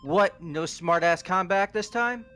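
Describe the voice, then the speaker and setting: A man's voice: high-pitched, unhurried, pushed and bright. A man playing a hot-headed, foul-mouthed young delinquent in a fan game dub, aggressive and blunt. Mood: furious. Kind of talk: fan voice acting of a video game.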